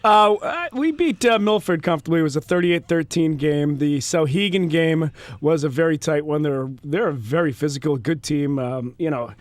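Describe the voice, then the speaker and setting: A man who is a football coach, guesting on a radio show, stuffy with a cold, relaxed and easygoing. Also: fairly high pitch, moderate pace, few pauses, no echo. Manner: relaxed, easygoing, laughs lightly. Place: radio studio. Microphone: studio microphone.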